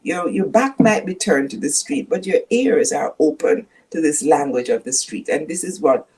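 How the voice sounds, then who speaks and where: An older woman from Jamaica with a Jamaican accent, speaking as a guest professor in a measured, storytelling way that leans on key words. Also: deep voice, animated, a little mellow, slightly rough, rough recording. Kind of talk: reflective interview conversation.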